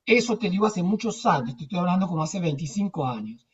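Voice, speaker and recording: A woman's voice: on the low side, expressive, fast. An older woman with an Argentine accent, telling anecdotes casually in a rambling, emphatic way with repetitions. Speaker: theatre teacher. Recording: distant, dull muffled microphone.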